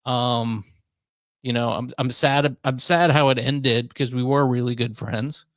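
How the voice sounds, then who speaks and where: A man with a medium-pitched voice talks as a radio co-host in casual conversation. The recording is dry and close, with little room sound. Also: fairly even intonation, a little slow, no echo. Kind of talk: casual chat.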